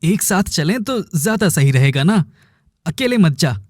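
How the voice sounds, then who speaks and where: A man's voice: sits high, animated, a little slow, darkish tone, dry recording, clean studio sound. A man voicing an earnest, brooding young village woodcutter in a melodramatic cartoon. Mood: anxious.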